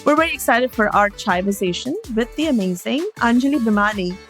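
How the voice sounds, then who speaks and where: A woman's voice: a little high, lively intonation, a little fast, soft background music. A woman from New York co-hosting a podcast, giggly and enthusiastic as she reads out a guest's credits. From New York City. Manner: giggly, enthusiastic, stumbles over names. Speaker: podcast co-host. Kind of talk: casual chat, reading guest introduction.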